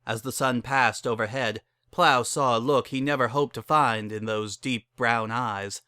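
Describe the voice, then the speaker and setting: A man's voice: medium pitch, fairly even intonation, a little slow, sharp tone, smooth, no echo. A man narrating a fan fiction story as a scripted dramatic reading.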